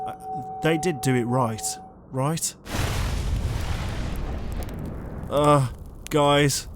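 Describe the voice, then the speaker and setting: Ghost gang leader, male actor, audio roleplay: earnest, deliberate, mid-range voice. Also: medium pitch, lightly acted. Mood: flustered. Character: earnest, bossy ghost ringleader, easily flustered.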